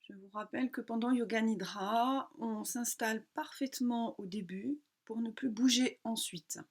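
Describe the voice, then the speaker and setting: A woman teaching yoga nidra, guiding a relaxation meditation calmly in short, unhurried phrases with pauses. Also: fairly high pitch.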